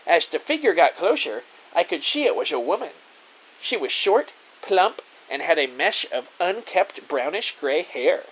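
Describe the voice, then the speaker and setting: A man's voice: fairly high pitch, very animated, measured pace, fairly bright tone, heavily acted, rough recording. A man playing an earnest, naive detective who narrates his own story in a scripted audio drama, with a theatrical delivery. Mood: earnest narrating.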